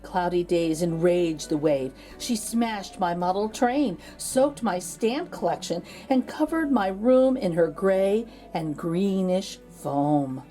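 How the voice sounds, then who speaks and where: A woman narrating a children's storybook aloud as a read-aloud host, expressive and drawing out key words. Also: on the low side, slow pace, hardly any pauses, faint music behind.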